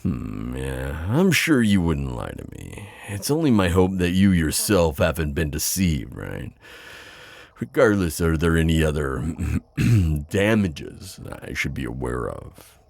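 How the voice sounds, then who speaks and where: Deep, gravelly, suave demon played by a man. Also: sing-song, a little mellow, dry recording, heavily acted. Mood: skeptical, probing. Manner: flirty, sardonic, hesitant pauses and drawn-out words. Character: suave, skeptical male demon buyer at a shady auction. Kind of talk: ASMR audio roleplay acting.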